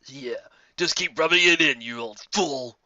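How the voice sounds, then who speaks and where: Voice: medium pitch, a little slow, sharp tone, no room sound, dull audio. A narrator voicing a sullen, sarcastic male monster soldier who grumbles under a demotion in an audio story.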